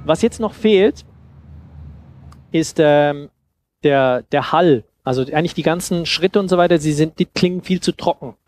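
A man in his thirties giving an informal demonstration talk into a headset mic, explaining haltingly and thinking aloud. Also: a little high, darkish tone. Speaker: conference speaker on sound design. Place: seated on a sofa in a festival room. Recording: clear background noise and ambience.

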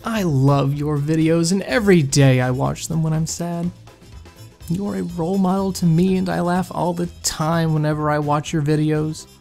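A man's voice: a little high, steady tone, normal speed, vocal fry, darkish tone, faint music behind. A man playing an earnest, needy internet commenter in a comedic dramatic reading. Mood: pleading.

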